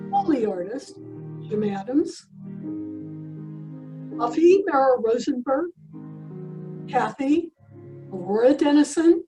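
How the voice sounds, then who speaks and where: A woman with a high voice announces in old-time radio style. She reads a scripted sign-off at a slow pace. Plucked string music plays clearly behind her. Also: low-quality recording.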